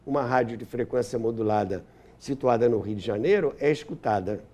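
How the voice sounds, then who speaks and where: A man's voice: mid-range voice, fairly quick, mellow. An older man with a Brazilian accent telling anecdotes and explaining history as an interview guest, repeating phrases for emphasis.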